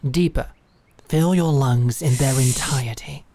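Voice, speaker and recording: A woman voicing a stern, teasing female knight who teaches swordsmanship, with a dry, measured manner. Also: a bass voice, dark tone, no echo, exaggerated voice. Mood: calm. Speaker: sword instructor. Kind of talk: fantasy audio drama acting.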